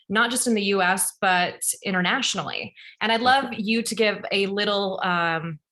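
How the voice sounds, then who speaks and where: Bright, upbeat woman hosting an interview. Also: medium pitch. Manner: enthusiastic and friendly, frequent affirmations. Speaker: interview show host.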